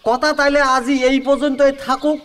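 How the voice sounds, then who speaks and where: A man's voice: very high voice, level tone, moderate pace, a bit bright. A man speaking with a Kamtapuri accent, playing a greedy, scheming middle-aged village man haggling over a dowry in a rural radio play. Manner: scheming, wheedling, bargaining. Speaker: Sanatan, a scheming matchmaker's ally.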